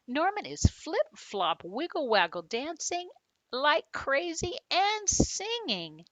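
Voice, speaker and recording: A woman, a children's author, reading a storybook aloud expressively, with dramatic pauses and chatty questions to young listeners. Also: sits high, huge pitch swings, a bit bright, no echo, poor audio.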